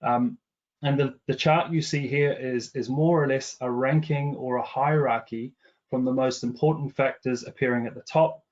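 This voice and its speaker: A man's voice: medium pitch, steady tone, darkish tone, lo-fi sound. A middle-aged man from New Zealand with a slightly anglicized New Zealand accent, presenting survey findings in a webinar, with frequent ums and restarts. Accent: New Zealand, partly anglicized after years in England. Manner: many fillers and restarts, measured business tone. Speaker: commercial director presenting research.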